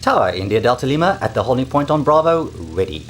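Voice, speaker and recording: A man playing a pilot, reading back clearances in scripted radio-call practice. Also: mid-range voice, big pitch swings, quick pace, lightly acted. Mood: procedural. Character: male pilot calling the tower.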